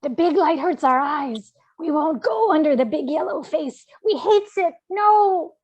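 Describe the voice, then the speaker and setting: A woman's voice: high-pitched, animated, darkish tone, muffled recording. A woman voicing a small, wretched, cunning creature with a whiny, hissing voice and broken grammar, at a fantasy script table read. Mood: pained complaint. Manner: slow, fawning, broken grammar.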